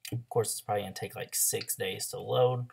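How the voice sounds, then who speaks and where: A hoarse young man with a loose, chatty manner, full of ums and likes, walking through a screen-share tutorial as an online ads coach. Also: lowish voice, steady tone, brisk, darkish tone, a little hiss or hum. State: hoarse after a throat illness.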